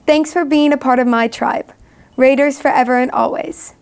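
A woman's voice: high-pitched, steady tone, normal speed, gravelly, darkish tone, smooth. A teenage young woman from the United States giving an upbeat, heartfelt student commencement speech, recorded in a studio in front of a curtain. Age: teens. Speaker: student graduation speaker.

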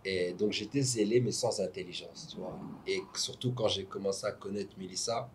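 A young man who grew up in Belgium, talking as a guest in an interview, halting and peppered with fillers, seated indoors at a table. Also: low-pitched, steady tone, slight background noise. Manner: hesitant, restarts often, adds "tu vois". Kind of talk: casual interview talk. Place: hotel lounge, indoors.

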